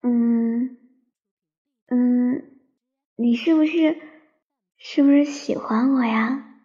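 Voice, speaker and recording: A woman playing a shy, romantic young woman who tells her love story in a soft, sentimental radio drama style. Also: fairly high pitch, drawn-out words. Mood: shy, hesitant. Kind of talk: Chinese radio drama, sentimental first-person narration. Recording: slightly dull sound under piano music.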